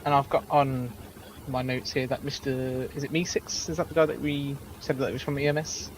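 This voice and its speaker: A man's voice: medium pitch, flat delivery, moderate pace, slight background noise, rough recording. A man playing a lawyer in a roleplay courtroom, hesitant and checking his notes as he questions a witness. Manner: hesitant, clarifying, reading from notes.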